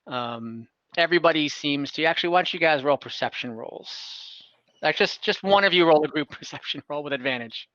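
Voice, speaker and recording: Male game master, higher-pitched and forward, informal halting improviser, dry but slightly muffled home recording. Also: sharp tone, dry recording. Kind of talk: casual improvised game narration. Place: online tabletop game session from home.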